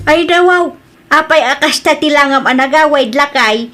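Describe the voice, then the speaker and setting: A woman's voice: high-pitched, normal speed, bright, forceful tone, faint music behind. A woman with a northern Philippine accent playing an older, coaxing, scolding wife who gambles hopefully in an audio drama.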